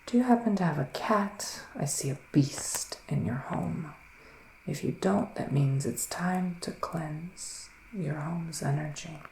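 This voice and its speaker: A man plays a psychic witch in a soft ASMR roleplay, slipping between gentle talk and whispers. His delivery is very slow and musing, with plenty of vocal fry. The room has a noticeable echo around his voice. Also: fairly high pitch, slight background noise. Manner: hesitant, drawn-out, mystical musing. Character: psychic male witch giving a mystical reading. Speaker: ASMR performer playing a psychic reader.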